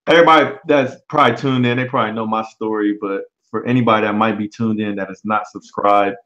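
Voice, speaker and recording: A man in his forties hosting a casual livestream chat, relaxed and often pausing on um. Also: lowish voice, dull audio. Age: 40s. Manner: relaxed, frequent um fillers. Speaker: livestream host. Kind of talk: casual live-stream chat.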